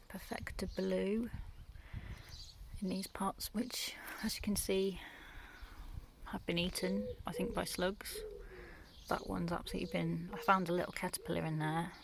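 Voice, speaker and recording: A woman from the UK, croaky from just waking up, giving a quiet, half-whispered tour of her back garden in the early morning. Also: lowish voice, steady tone, unhurried, a crowd in the background. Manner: hushed, half-whispered talk. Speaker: gardening vlogger. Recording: noisy outdoor recording with birdsong.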